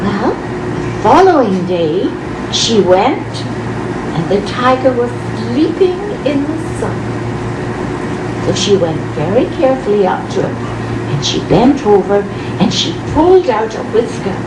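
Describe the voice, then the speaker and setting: A woman telling a folk tale aloud as a live storyteller, with deliberate, dramatic phrasing and pauses. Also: a little high, big pitch swings. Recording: roomy, distant mic, clearly noisy background.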